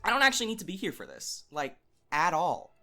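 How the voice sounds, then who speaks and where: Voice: medium pitch, wildly swooping pitch, brisk, bright, forceful tone, faint music behind. A narrator giving a wry, theatrical dramatic reading of a scripted story.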